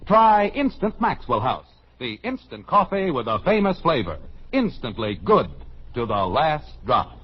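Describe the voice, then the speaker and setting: A man's voice: fairly high pitch, big pitch swings, a little slow, a little hiss or hum. An American man announcing from a script in a polished, vintage radio sales style. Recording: muffled old radio broadcast, music behind. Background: music.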